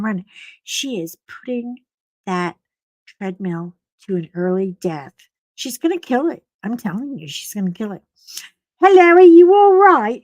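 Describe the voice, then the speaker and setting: A middle-aged woman hosting a casual live stream, chatting to viewers and telling a story with a slightly froggy voice and big swoops of pitch on her greetings. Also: dry recording.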